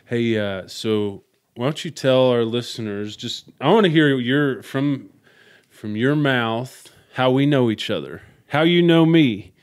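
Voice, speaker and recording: Loud, outgoing Midwestern man, smooth voice. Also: mid-range voice, unhurried. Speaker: podcast host. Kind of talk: casual conversational interview.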